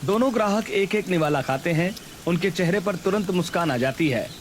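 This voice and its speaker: Higher-pitched man narrating over music. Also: animated, moderate pace, slight background noise. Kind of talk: scripted storybook narration for a cartoon. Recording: background music under voice.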